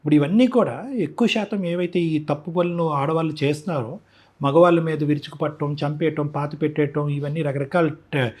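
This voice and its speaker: A man with a Telugu accent and a husky voice, explaining his points emphatically as an expert guest in a conversational interview. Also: on the high side, steady tone, fairly quick, a little mellow. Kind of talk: conversational interview commentary mixing Telugu and English.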